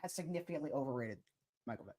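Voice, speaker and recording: A man's voice: medium pitch, lively intonation, very fast, warm tone. A young man from Baltimore co-hosting a podcast, calling out live baseball updates in casual chat, often stumbling over his words. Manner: chatty, stumbles and restarts often. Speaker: podcast co-host.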